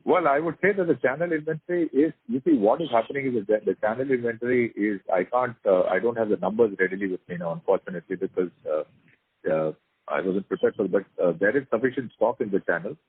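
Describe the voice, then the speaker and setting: A man's voice: fairly low pitch, quick pace, slightly rough, no echo. An Indian man speaking as a company executive, giving informal, unscripted business answers on a call. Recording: dry, narrow conference phone line.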